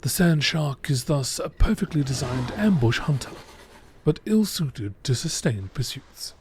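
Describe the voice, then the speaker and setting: A man reading scripted text as a narrator in nature documentary style, with a measured, matter-of-fact, formal delivery. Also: a little high, lively intonation, a little hiss or hum. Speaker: narrator reading out game lore.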